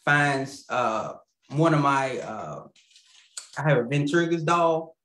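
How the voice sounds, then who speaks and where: A man's voice: mid-range voice, expressive, takes it slowly, fairly bright tone. Rambling, apologetic man in an echoey room. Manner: rambling, self-interrupting, apologetic, laughs easily. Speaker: puppeteer guest. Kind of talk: casual interview chat. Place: home room with a lamp and plant. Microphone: video call. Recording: echoey room on a video call.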